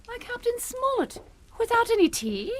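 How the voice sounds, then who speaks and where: A woman's voice: extremely high pitch, big pitch swings, normal speed. A proper matron in a radio thriller, mothering and theatrical, very up and down voice. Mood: gushing, genteel. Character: proper, fussy English matron, mothering and controlling. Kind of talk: radio suspense drama acting.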